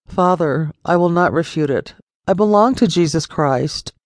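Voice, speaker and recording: A woman's voice: on the low side, measured pace, no echo, clean studio sound, natural voice. A woman reading an earnest devout daughter. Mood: resolute. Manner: earnest and resolute.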